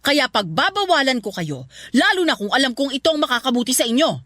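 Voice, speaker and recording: A woman's voice: high-pitched, big pitch swings, fast talker, fairly bright tone, no room sound, clean studio sound. A woman with a Filipino accent playing a stern, bitter middle-aged mother in a radio drama, scolding and domineering. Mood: angry scolding. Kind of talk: Tagalog radio drama acting.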